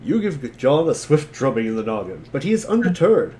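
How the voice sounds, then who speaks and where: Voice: mid-range voice, very animated, brisk, warm tone, a little hiss or hum. An amateur reader narrating a webcomic in the second person, with a wry delivery.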